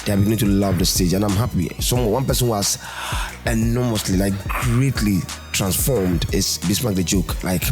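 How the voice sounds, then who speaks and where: Male stage director, Ghanaian accent, low voice, interview talk over faint music. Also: moderate pace. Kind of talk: interview answers.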